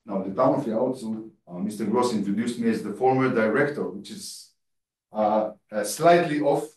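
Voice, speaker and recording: Man, Hebrew first language, halting lecture, lots of fillers, roomy hall, distant mic. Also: on the low side, darkish tone. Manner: hesitant, many fillers and restarts. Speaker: guest lecturer. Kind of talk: public lecture. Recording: echoey hall from far off.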